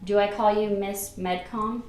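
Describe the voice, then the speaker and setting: A woman acts a frank, personable female physician in a radio play. Her pitch is middling. She is recorded a little away from the mic in an echoing room. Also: fairly even intonation, a little slow, a little mellow, natural voice. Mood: questioning, wry. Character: frank, personable female doctor new to the job. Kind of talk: amateur radio play acting. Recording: echoey room, distant, faint music.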